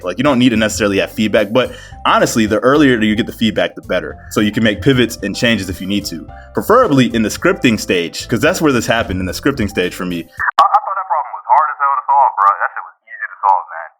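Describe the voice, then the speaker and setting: A man's voice: lowish voice, a bit bright. A man giving casual advice as a video creator, talking in a slangy, self-deprecating way full of "bro" and "man". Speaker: video creator giving game development tips. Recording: music behind the voice. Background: background music.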